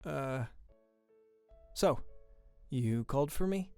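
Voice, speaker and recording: A man's voice: medium pitch, animated, takes it slowly, dark tone, clear, resonant tone, soft background music. A man voicing a hesitant, deferential male student informant in a comic dub, casual and hedging.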